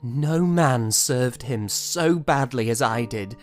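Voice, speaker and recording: Proud young composer played by a man, period audio drama: slow, formal, theatrical, wordy, music behind. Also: mid-range voice, lightly acted. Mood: wry, dramatic.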